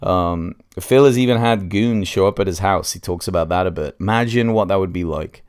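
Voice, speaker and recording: A man's voice: sits low, fairly quick, darkish tone, clean tone. A man hosting a casual solo podcast review, congested with a cold and speaking in an informal, hesitant way.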